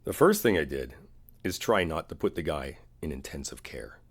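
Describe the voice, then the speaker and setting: Casting: male actor as earnest, hurt but devoted boyfriend for intimate roleplay audio; very low, husky voice, confiding delivery with pauses. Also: big pitch swings, full character voice. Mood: subdued, hurt. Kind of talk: boyfriend ASMR roleplay, intimate one-sided dialogue.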